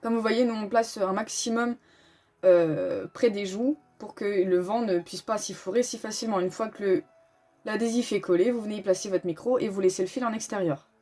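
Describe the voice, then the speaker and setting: A woman's voice: medium pitch, normal speed, dark tone. A woman hosting a casual, informal step-by-step tutorial, explaining as she goes and announcing each step. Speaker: host of a motorcycle gear tutorial. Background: music.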